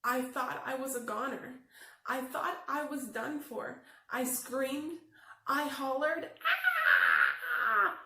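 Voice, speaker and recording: A woman's voice: sits high, creaky, bright, forceful tone, pitched above the performer's own voice, heavily acted. A woman voicing a glum, misunderstood storybook bad seed trying to be good, with theatrical expression. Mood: alarmed, dramatic. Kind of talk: children's picture book read-aloud. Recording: echoey room with faint noise.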